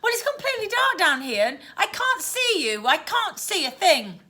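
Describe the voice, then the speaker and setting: A haughty little upper-class English girl from a storybook, as a woman reader puts her on. Her voice is high and sharp, with big swoops in pitch. She sounds prim, defiant and easily affronted. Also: very animated, normal speed, exaggerated voice. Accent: posh upper-class English. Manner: prim, indignant. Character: posh, haughty young schoolgirl, indignant and defiant. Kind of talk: children's storybook read aloud.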